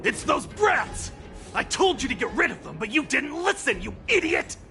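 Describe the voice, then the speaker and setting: A man voicing a hot-tempered, bossy villain henchman in a video game, shouting and scolding. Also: very high voice, very animated, moderate pace, gravelly, over music, exaggerated voice. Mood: furious.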